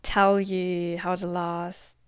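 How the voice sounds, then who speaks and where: A woman's voice: fairly low pitch, flat delivery, slow, smooth, no echo. Young woman musing in a rambling way. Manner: rambling, heavy use of "like", drops to whispers at times. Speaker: podcast host. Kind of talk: reflective solo podcast monologue.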